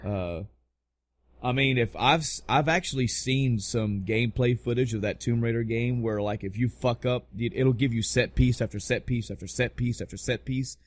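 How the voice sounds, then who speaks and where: A man's voice: mid-range voice, normal speed. A man hosting a casual podcast about pop culture, talking in an emphatic, rambling way with plenty of swearing. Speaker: podcast host. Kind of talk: casual podcast chat about video game movies.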